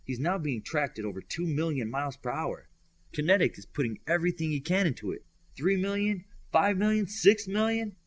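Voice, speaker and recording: A man's voice: on the high side, expressive, fairly quick, pitched above the performer's own voice. A man voicing a young telepathic superhero girl who explains and reports things to her friends in an audiobook. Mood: excited. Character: telepathic superhero girl, informative, attentive student.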